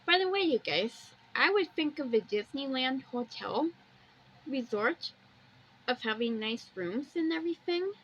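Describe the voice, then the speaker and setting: A woman with a high-pitched voice hosts a homemade walkthrough in a casual way. She describes what she sees in plain terms and drifts into her own little asides. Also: animated, unhurried, a bit bright, husky, dull audio. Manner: plainly describes pictures, wandering into personal asides. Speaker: amateur video host.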